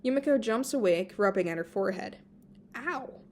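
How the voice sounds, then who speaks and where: An amateur woman narrator reads fan fiction aloud at a middling pitch. She stumbles on words and restarts them, and faint noise sits behind her in a homemade recording. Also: animated, normal speed, darkish tone. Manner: stumbles over words and corrects herself. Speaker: amateur podfic reader.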